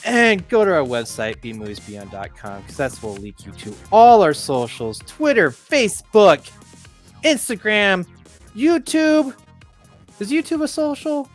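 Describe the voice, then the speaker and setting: A man's voice: high voice, big pitch swings, a little slow, soft background music. A man co-hosting a casual movie podcast, talking in a hesitant, rambling way and stumbling over words. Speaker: podcast co-host.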